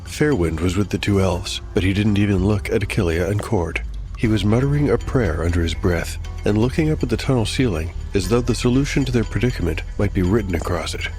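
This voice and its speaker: A man with a very low voice narrates a fantasy tale as game master. His delivery is scripted and dramatic, with faint music underneath. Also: brisk, a little mellow.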